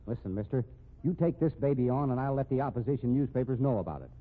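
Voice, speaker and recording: An actor with an American accent playing a gruff, irritable middle-aged police captain who grumbles bluntly and sardonically in a 1950s radio drama. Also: male voice, medium pitch, lively intonation, fast talker, narrow, tinny audio, natural voice. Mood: challenging. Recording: thin, noisy 1950s radio recording.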